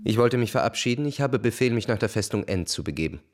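A man's voice: mid-range voice, expressive, fast talker, lightly acted. An actor playing a proud, world-weary young Russian officer who narrates his own story dramatically in a radio play. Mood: restrained, somber.

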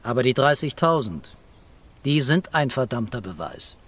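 An actor playing a weary, quietly intense police commissioner in a radio crime drama. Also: male voice, medium pitch, very animated, moderate pace, noisy background. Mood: stern, quiet.

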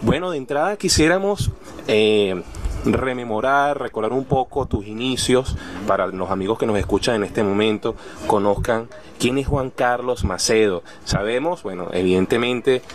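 A man's voice: mid-range voice, moderate pace. A man with a Venezuelan accent speaking as a radio host in a conversational interview.

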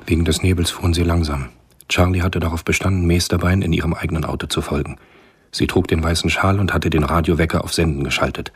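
The narrator of a radio thriller. His voice is low and husky. He reads the scripted story soberly. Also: steady tone, fast talker, exaggerated voice.

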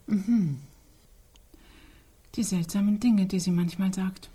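Musing observer for radio play: medium pitch, mellow husky voice, cool fascination. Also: female voice, takes it slowly. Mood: eerie musing. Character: musing female observer of photos, coolly fascinated. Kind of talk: German radio play, stylised dialogue.

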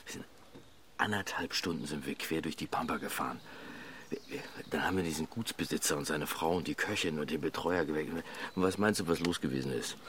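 A man's voice: extremely low pitch, a bit bright, slight background noise. An actor playing a weary, talkative family father with a sardonic, colloquial manner in a naturalistic radio drama.

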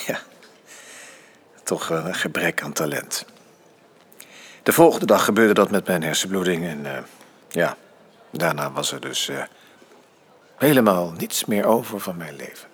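An actor playing a frail, broken older father in a radio drama, speaking haltingly and stammering through broken-off phrases. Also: low-pitched, very animated, gravelly, slightly rough, full character voice. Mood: resigned, remorseful. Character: frail, broken, big older father, estranged from his son. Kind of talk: naturalistic radio drama acting.

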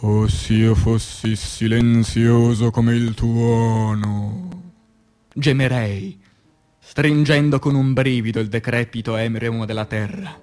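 A man performing a dramatic poetry recitation, declamatory and intense, with dramatic pauses and swings between hushed and forceful delivery. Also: medium pitch, fairly even intonation. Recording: voice over music bed. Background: music.

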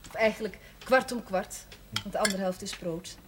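A woman playing a priest's caring younger sister, who teases him gently and speaks tenderly. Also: medium pitch, moderate pace, background noise, close to an everyday voice. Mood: teasing. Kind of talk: 1970s television drama.

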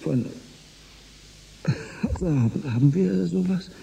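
An actor playing a calm, reassuring scholar aboard a spaceship in a German radio drama, speaking in a measured way. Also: male voice, on the high side, creaky, a little hiss or hum, heavily acted, poor audio. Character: calm, reassuring male historian-physicist on a spaceship.

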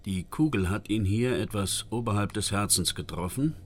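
An actor playing a matter-of-fact police forensic analyst in a radio drama, explaining things in a businesslike way. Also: male voice, on the low side, normal speed, slightly rough. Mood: focused. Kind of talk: radio drama, technical briefing.

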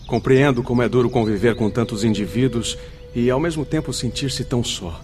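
A man's voice: mid-range voice, a little fast, darkish tone, soft background music, lightly acted. An actor playing a compassionate male spirit friend in a radio drama, speaking earnest, formal, consoling words in a Brazilian accent.

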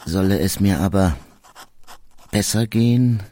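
A man reading the quoted letters of a young engineer in an audiobook, measured and reflective. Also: low voice, dark tone.

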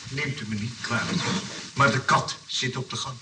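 An actor playing a sly, polite engineer in a radio play, coaxing and placating with persistent mock innocence. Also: male voice, medium pitch, moderate pace, natural voice. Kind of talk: Dutch radio play acting. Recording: distant mic, clearly noisy old recording.

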